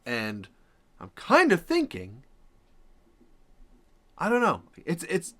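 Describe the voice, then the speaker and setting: Slow-talking, musing American man. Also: on the high side, huge pitch swings, sharp tone. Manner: musing, appreciative. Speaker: reaction video host. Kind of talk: casual unscripted reaction chat.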